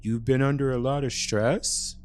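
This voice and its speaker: A man voicing a calm male hospital doctor in a story, with careful, clinical phrasing. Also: mid-range voice, a little slow, close to an everyday voice.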